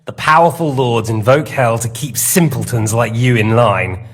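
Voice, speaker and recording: A man's voice: mid-range voice, normal speed, faint music behind, lightly acted. A man playing a smug, sadistic lord in a game, interrogating with mocking, theatrical, mock-polite menace. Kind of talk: dramatic game acting.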